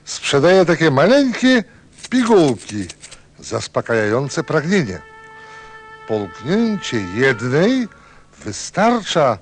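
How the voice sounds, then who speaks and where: A man's voice: a little high, wildly swooping pitch, takes it slowly, faint music behind, full character voice. A man playing an eager merchant in an audio play, with theatrical, sing-song sales patter. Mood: eager, salesman-like.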